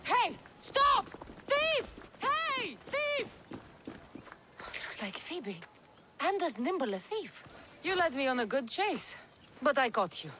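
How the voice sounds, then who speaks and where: A woman's voice: very high-pitched, sing-song, slow, pauses often, background noise, exaggerated voice. A woman voicing a confident, dry-witted mercenary hero. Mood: shouting.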